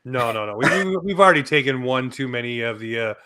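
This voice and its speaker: A man's voice: mid-range voice, expressive, quick pace, bright, forceful tone, smooth. A man co-hosting a sports podcast, giving casual commentary in an emphatic, opinionated way. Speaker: hockey podcast co-host.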